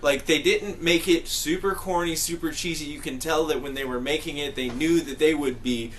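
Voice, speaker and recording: A man's voice: fairly high pitch, fairly even intonation, normal speed, a bit bright, a little hiss or hum. A man in a casual group discussion, talking in a rambling, emphatic way with plenty of slang. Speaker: podcast panelist.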